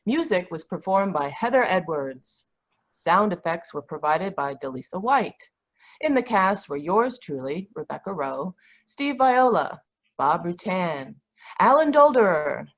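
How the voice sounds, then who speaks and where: Animated woman announcer, dull recording. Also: on the low side. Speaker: announcer reading credits. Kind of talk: scripted radio show sign-off. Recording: dull, lo-fi online call audio.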